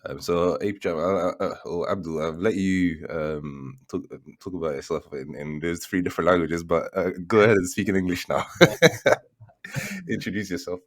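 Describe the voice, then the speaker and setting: Very deep-voiced male podcast host. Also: normal speed. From Somali background. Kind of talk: casual podcast chat.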